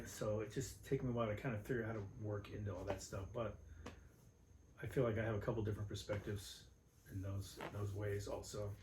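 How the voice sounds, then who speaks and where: An American man co-hosting a casual podcast, easygoing, laughing often and trailing off mid-thought. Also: lowish voice. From Los Angeles area, United States. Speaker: podcast co-host. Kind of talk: casual chat. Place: home room. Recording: roomy home recording with faint noise.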